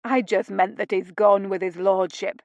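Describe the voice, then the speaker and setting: A gruff older Scottish stable master, loud and blunt with a fond streak, as a woman reads him aloud. He talks in broad dialect, close and dry with no room around him. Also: mid-range voice, level tone, moderate pace, no room sound, dull audio, lightly acted. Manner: blunt, folksy, dialect-heavy speech.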